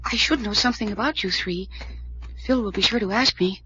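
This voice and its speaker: A woman's voice: medium pitch, a little fast, sharp tone, lightly acted. An actress playing an anxious young woman in an old-time radio mystery serial. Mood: chatty. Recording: noisy dull old radio recording.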